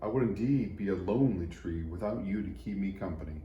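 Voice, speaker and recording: A man's voice: deep voice, a little fast, dark tone, highs cut off, close to an everyday voice. A man voicing a scrawny, wistful little tree in a children's storybook. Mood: downcast. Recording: roomy echo, faint noise.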